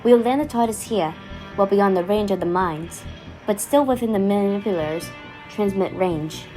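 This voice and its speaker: Actress as brisk female commander, music behind. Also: mid-range voice, warm tone, close to an everyday voice. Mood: businesslike briefing. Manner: businesslike, curt, explanatory. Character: brisk, no-nonsense female time-travelling commander, impatient and businesslike. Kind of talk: audio drama acting.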